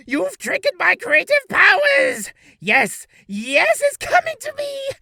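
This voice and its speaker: A man playing a geeky, creepy, excitable superfan in comic game style, moving between mumbles and manic excitement. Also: very high-pitched, slow pace, creaky, shouting, full character voice. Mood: manic inspiration. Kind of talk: comic video game character acting.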